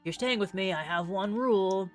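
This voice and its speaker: A woman's voice: mid-range voice, normal speed, soft background music, voice pushed up, lightly acted. A woman voicing a brash, teasing but kindly visual novel character who talks to a stray cat. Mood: bossy, fond. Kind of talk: animated reading of visual novel dialogue.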